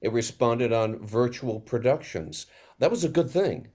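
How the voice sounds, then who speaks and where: A man in a reflective panel discussion over a video call, halting and ruminative, posing rhetorical questions as he thinks aloud. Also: mid-range voice, expressive, darkish tone. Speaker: theater panelist.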